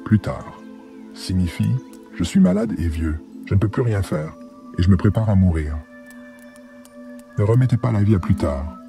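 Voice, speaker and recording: A man playing an ailing, earnest grandfather in his seventies in a cartoon, speaking reflectively in a frail, gravelly voice. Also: low-pitched, very animated, moderate pace, pauses often, dark tone, soft background music, heavily acted. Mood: wistful, earnest. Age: 70s. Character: weary, ailing old grandfather, regretful and earnest. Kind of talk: animated drama acting in French.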